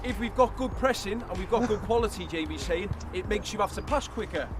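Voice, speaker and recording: A coach with an unusually high voice for a man gives urgent instructions and questions his players on an outdoor pitch. Loud music plays over him. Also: a little fast.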